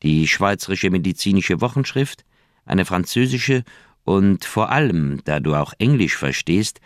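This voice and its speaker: Deep-voiced earnest doctor. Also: male voice, lively intonation, unhurried, no room sound, clean studio sound. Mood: eager, casual. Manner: emphatic, wordy, persuasive. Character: earnest middle-aged Swiss doctor, insistent, loyal friend. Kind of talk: German radio crime drama acting.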